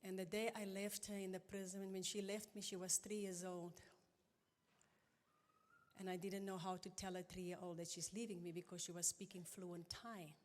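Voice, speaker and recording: A middle-aged woman giving an earnest, halting and emotional personal testimony at a church conference. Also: on the low side, flat delivery, a little mellow. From South Africa. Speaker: conference guest speaker. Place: church auditorium. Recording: long reverb of a large church hall.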